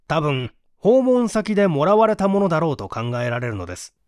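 A man delivers dramatic story narration in a fairly high male voice. His intonation is very lively, with measured theatrical phrasing. The sound is dry and close, free of room echo. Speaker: audiobook reader and small-theatre actor.

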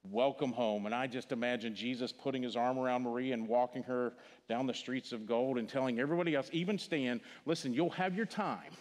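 Older pastor, Missouri accent, earnest funeral preaching, mid-pitched voice, long church reverb. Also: male voice, steady tone, brisk. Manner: earnest, repeats words, drops to a hush on key phrases. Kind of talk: funeral sermon. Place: large church sanctuary stage.

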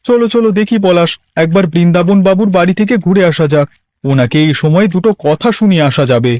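A man's voice: high voice, brisk, darkish tone, no echo, clean studio sound, close to an everyday voice. A man voicing an anxious, lamenting poor village farmer in a cartoon, plaintive and exclamatory. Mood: plaintive, indignant. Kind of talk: Bengali cartoon voice acting.

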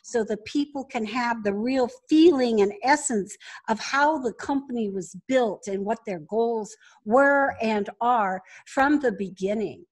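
A woman's voice: a little high, unhurried. A woman speaking conversationally as a guest in an interview, explaining her business. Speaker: podcast guest, business founder.

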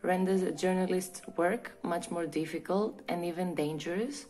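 A young woman from Greece speaking in a serious, deliberate way into a webcam indoors. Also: on the low side, fairly even intonation, darkish tone. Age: young adult. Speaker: interviewee. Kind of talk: prepared video statement. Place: room indoors.

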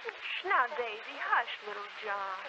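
A woman's voice: on the high side, big pitch swings, measured pace, a crowd in the background. A woman playing an emotional, dutiful young daughter in 1940s radio acting. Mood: excited. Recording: very noisy, muffled 1940s radio recording.

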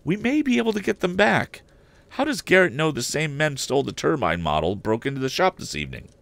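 A man's voice: a little high, very animated, fairly quick, gravelly, fairly bright tone, no echo, voice pushed up, heavily acted. A man voicing an earnest, cautious young inventor talking to his father in a storybook reading. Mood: concerned, soothing. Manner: soothing, questioning, eager.

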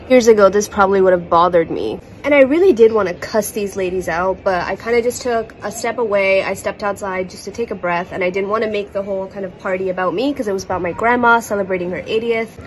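A woman's voice: medium pitch, a little hiss or hum. A young woman whose first language is Hindi, telling a personal story to a phone held at arm's length on a balcony, candid and indignant. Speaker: content creator. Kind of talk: casual storytelling to phone camera. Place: outdoors on a city balcony.

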